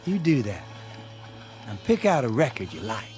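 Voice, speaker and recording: A man's voice: mid-range voice, wildly swooping pitch, moderate pace, a little mellow, soft background music. A man playing a folksy, easily wounded young suitor with a rural American accent in a radio drama. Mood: courting. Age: young adult.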